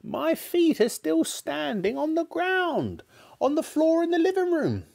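A man reading a storybook aloud and voicing a boastful, cackling old grandmother in a shrieking falsetto. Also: huge pitch swings, a little slow, gravelly, a little mellow, exaggerated voice. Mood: gleeful shouting.

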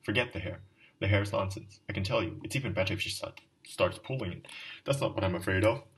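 Ragged drunk, middle-aged man, fervent and pleading, as a male reader puts him on; lowish voice, mic set back. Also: fast, a bit bright, recorded from a distance, poor audio. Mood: anxious. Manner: fervent, rambling confession. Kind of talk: audiobook dialogue reading.

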